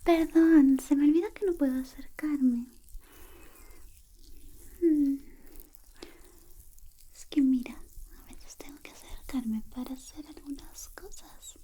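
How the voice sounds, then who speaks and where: Girl acting as a crushing best friend in asmr, soft whispery high voice, very mellow, coaxing and teasing. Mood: coy, secretive. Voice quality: soft, breathy, mostly whispered. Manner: slow, coaxing, intimate, playfully teasing. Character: affectionate, teasing young female best friend secretly in love. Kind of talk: ASMR personal-attention roleplay.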